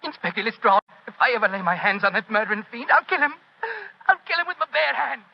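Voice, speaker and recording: Anguished vengeful dad, high gravelly voice, muffled vintage radio drama. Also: male voice, very animated, fast talker, full character voice. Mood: vengeful rage. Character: grieving, vengeful middle-aged father. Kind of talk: 1940s radio drama acting. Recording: dull 1940s radio recording.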